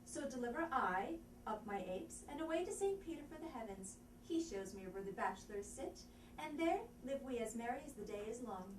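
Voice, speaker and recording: A woman's voice: on the high side, expressive, moderate pace, lightly acted. Woman as a witty heroine in an echoey room. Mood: witty, defiant. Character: witty, defiant young unmarried woman in Shakespearean comedy. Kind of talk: stage dialogue, scene reading. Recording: roomy, distant, faint noise.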